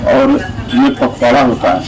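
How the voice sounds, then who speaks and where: A man's voice: medium pitch, wildly swooping pitch, moderate pace, low-quality recording. A man, a local author, explaining a festival tradition with frequent restarts. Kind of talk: interview soundbite. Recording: outdoor street noise.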